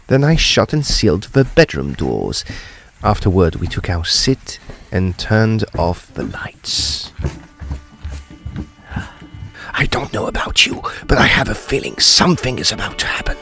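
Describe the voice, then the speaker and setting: A man's voice: lowish voice, sing-song, normal speed, vocal fry, bright, forceful tone, soft background music, full character voice. An actor playing a grave, intrepid ghost hunter, narrating his own ghost story in a theatrical, suspenseful way. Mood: grave, purposeful. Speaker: actor narrating an audio drama. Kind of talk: dramatic first-person ghost story narration.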